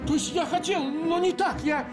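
Актёр играет робкого, виноватого слугу, который стащил конфеты. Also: male voice, extremely high pitch, moderate pace, music in the background. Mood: sheepish. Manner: apologetic, meek. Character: sheepish servant who stole the sweets. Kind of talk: children's TV comedy acting.

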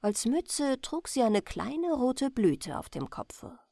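Slow, animated woman reading fairy tales. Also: high-pitched, gravelly, no room sound, clean studio sound. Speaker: storyteller. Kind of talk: bedtime fairy-tale reading, scripted narration.

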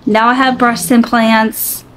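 A woman's voice: on the high side, flat delivery, slow pace, fairly bright tone, poor audio. A woman in her forties, candidly sharing her own story in a reflective, open way, with many fillers, filmed in her bedroom by a camera a few feet away. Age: 40s. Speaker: vlogger. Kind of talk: candid personal vlog. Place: bedroom, seated on a bed.

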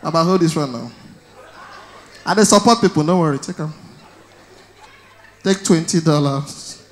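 A man in his thirties with a Nigerian accent, performing stand-up on stage with a handheld mic, telling stories loudly and shouting his punchlines. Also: on the high side, unhurried. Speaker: stand-up comedian. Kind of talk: stand-up comedy in Pidgin. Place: large indoor event hall on stage.

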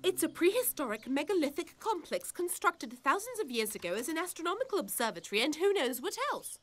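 High-pitched bookish girl. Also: big pitch swings, fairly quick. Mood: eager. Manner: precise, articulate. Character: bookish, eager know-it-all schoolgirl. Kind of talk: animated cartoon acting.

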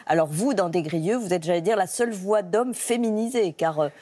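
A woman's voice: mid-range voice, brisk, mellow. A woman hosting a TV arts interview in a studio, eagerly quoting reviews and prompting her guest. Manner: enthusiastic, quotes and prompts the guest. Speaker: TV arts show host. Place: TV studio set.

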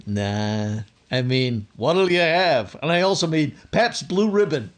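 Slow, blustery radio sitcom dad played by a man. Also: on the high side, animated. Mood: sentimental. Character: bumbling, sentimental working-class husband and father. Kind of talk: 1950s radio sitcom acting.

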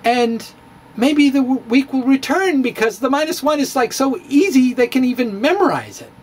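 A middle-aged man giving a dramatic, comedic reading of a physics blog, halting and theatrical with emphatic pauses. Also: very high-pitched, lively intonation, slightly rough. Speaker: blogger, stand-up physicist. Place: indoor room with bookshelves. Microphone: handheld-style microphone on stand, close.

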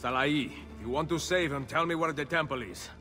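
An actor playing a stern, commanding hooded Renaissance assassin who speaks tersely in a video game. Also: male voice, on the high side, a little fast, pushed and bright, faint music behind. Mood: urgent. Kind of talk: dramatic video game cutscene acting.

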